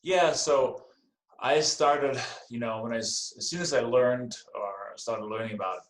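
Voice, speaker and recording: A man's voice: mid-range voice, a little slow, roomy sound. A middle-aged man with a Danish accent, chatting easily as a podcast guest and often repeating words as he gathers his thoughts. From Denmark. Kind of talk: casual interview chat.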